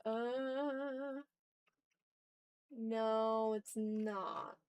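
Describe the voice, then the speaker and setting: A woman's voice: on the high side, fairly even intonation, drawn-out words, a little mellow, smooth, dull audio. A woman livestreaming as she draws, chatting casually with viewers and muttering to herself as she talks through each step. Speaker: art streamer. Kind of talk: casual livestream chat while drawing.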